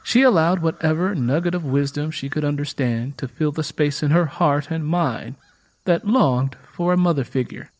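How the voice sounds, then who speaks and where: A man reading scripted audio drama narration as a storyteller, in a measured, literary manner. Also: fairly high pitch.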